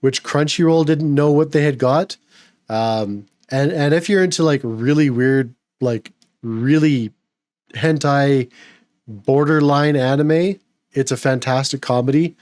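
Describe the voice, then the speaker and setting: Man getting over a cold, a little gravelly. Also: mid-range voice, unhurried, a little mellow, no room sound, studio quality. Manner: opinionated, rambling with repeated restarts. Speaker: podcast host. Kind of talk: casual podcast chat.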